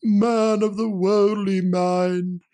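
A woman voicing the chained ghost of an old male business partner, slow and loud with drawn-out wailing delivery. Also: mid-range voice, steady tone. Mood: mournful. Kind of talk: audiobook character reading.

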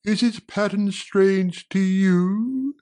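A woman voicing the chained ghost of an old male business partner, slow and loud with drawn-out wailing delivery. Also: medium pitch, natural voice. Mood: solemn. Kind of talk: audiobook character reading.

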